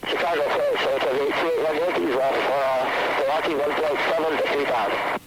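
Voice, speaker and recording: A man's voice: on the high side, fairly even intonation, brisk. A man piloting an aircraft, making terse readbacks to air traffic control over the radio. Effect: aircraft radio transmission. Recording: very noisy cockpit radio transmission.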